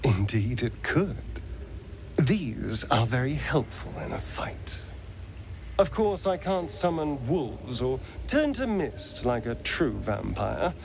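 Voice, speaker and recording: An actor playing a sly, theatrical vampire spawn in a video game, coaxing and dryly wry. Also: male voice, mid-range voice, big pitch swings, takes it slowly, creaky, a little hiss or hum, heavily acted. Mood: wry, musing. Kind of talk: dramatic video game dialogue acting.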